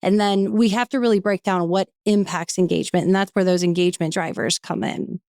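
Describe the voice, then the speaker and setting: A woman guest on a podcast, explaining her work in a composed, clear way. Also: fairly low pitch, steady tone, brisk, darkish tone, no room sound, studio quality. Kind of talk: casual podcast interview.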